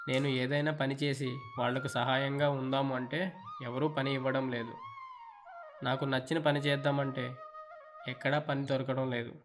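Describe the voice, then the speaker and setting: A man's voice: mid-range voice, lightly acted. A man voicing an earnest, respectful young musician in a children's cartoon story. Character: earnest, troubled young male musician, devoted son.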